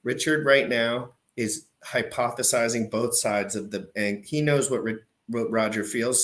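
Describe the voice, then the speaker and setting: A man hosting a livestream, pausing a clip to explain the background to his chat in a loose, off-the-cuff way. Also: lowish voice, a bit bright. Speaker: show host reacting to an old debate clip. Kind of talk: casual commentary to a live chat.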